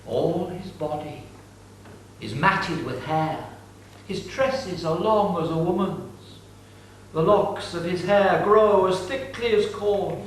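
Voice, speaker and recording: A man's voice: high-pitched, slow, slight background noise. A man narrating an ancient epic in a declamatory, solemn dramatic reading. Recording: distant mic, echoey room.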